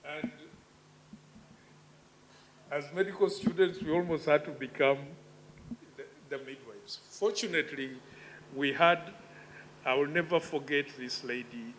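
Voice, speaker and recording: Man in his fifties, conference keynote, somewhat high voice, deliberate and reflective, faint hum underneath. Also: a bit bright, rough recording. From Uganda. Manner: measured, reflective, with emphatic rises. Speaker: conference speaker. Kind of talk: conference speech. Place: conference hall.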